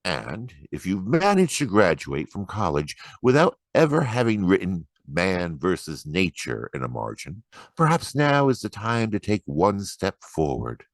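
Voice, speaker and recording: A man giving a dramatic, expressive and deliberate reading of poetry. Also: fairly low pitch, no room sound. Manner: expressive, deliberate, acts out quoted remarks. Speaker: poetry reader.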